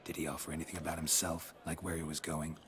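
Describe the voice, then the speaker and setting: An actor playing a gruff, laconic monster hunter with a dry, sardonic manner in a dramatic video game. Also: male voice, a bass voice, little pitch movement, fast talker, crowd noise.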